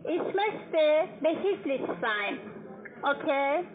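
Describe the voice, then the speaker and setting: A woman with a foreign accent, a non-native speaker, talking haltingly and repetitively as a call-centre agent. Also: high voice, lively intonation, soft background music. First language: not German. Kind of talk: telephone customer call. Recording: tinny phone line, busy call-centre chatter.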